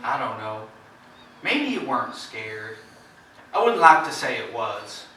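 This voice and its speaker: Rambling farmer witness for a stage play, male actor: animated pitch, recorded from afar in an echoing space with faint noise. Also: unhurried, recorded from a distance. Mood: hesitant, earnest. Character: talkative, rambling farmer neighbor giving his account. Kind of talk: stage drama acting, recounting events. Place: indoor theater stage, distant microphone.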